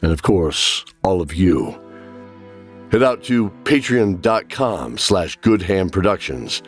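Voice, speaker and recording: Deep-voiced male fantasy narrator over music. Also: lively intonation, slow pace.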